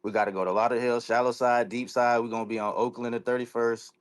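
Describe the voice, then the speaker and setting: A man's voice: medium pitch, flat delivery, fast talker, smooth, no room sound. A man, a caller brought on a live show, talking in laid-back, slangy, joking casual chat. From South Florida. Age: 30s.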